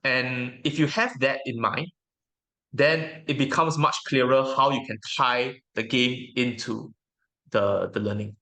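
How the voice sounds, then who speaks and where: A man whose native language is Mandarin, giving casual interview answers as a guest in a reflective, hesitant way with frequent pauses. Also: medium pitch, fairly even intonation, bright, forceful tone. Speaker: interview guest, tabletop game educator.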